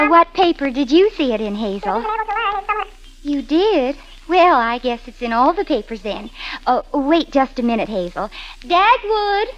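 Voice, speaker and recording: An actress playing a sweet, patient young housewife in a 1930s radio comedy, chirpy and coaxing. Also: female voice, sits high, full character voice. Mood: coaxing, polite. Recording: faintly noisy 1930s radio recording.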